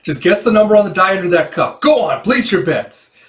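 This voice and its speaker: A man voicing a sly, playful, excitable young woman who hustles dice games, in a brash, theatrical manga read-aloud. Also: high voice, brisk, pitched above the performer's own voice. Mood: brash, eager. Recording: roomy, echoey room.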